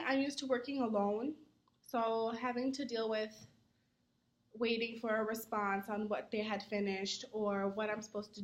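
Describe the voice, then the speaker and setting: A young adult woman, a student, giving earnest answers in a mock interview, often pausing on "um". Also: medium pitch. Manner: earnest, many "um" fillers; says she talks fast when nervous.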